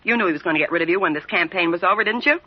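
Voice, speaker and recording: A woman's voice: on the low side, rapid-fire, close to an everyday voice. An actress playing a scheming, sarcastic female racketeer in 1940s radio crime drama, brassy, slangy and needling. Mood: scornful. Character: hard-boiled, scheming, sarcastic racketeer woman. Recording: dull vintage radio recording.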